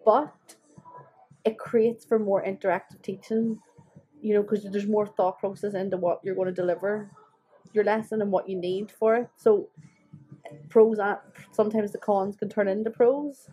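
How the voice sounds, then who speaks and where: A woman's voice: medium pitch, steady tone, normal speed, warm tone, slightly rough, rough recording. Earnest young Belfast woman. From Belfast, Northern Ireland. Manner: earnest, reflective, with frequent "like" fillers. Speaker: trainee Irish-medium teacher. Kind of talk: documentary interview.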